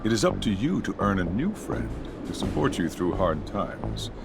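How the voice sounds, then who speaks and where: A man playing a menacing colonel in a video game, insinuating and falsely friendly, with deliberate delivery. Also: deep voice, wildly swooping pitch, vocal fry, over music, exaggerated voice. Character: smooth-talking, menacing male colonel, secret police villain.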